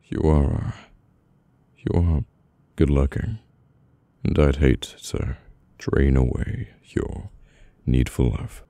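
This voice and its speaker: A man with a British accent playing a brooding, guarded mafia boss in an intimate, halting, murmured ASMR roleplay. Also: extremely low pitch, animated, creaky, dark tone, dry recording, full character voice. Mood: awkward, caring.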